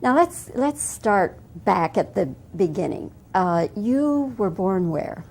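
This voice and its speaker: A woman's voice: mid-range voice, very animated, slow, vocal fry, a little mellow. A woman who works as a television interviewer, asking questions in a friendly, conversational way. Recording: faint background noise.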